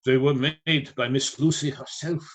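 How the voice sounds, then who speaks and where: An actor playing a learned, mysterious professor in a radio play, speaking formally and deliberately with rhetorical questions. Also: male voice, medium pitch, fairly even intonation, lightly acted. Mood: grave, resolute. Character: grave male professor, learned, mysterious, solemnly persuasive.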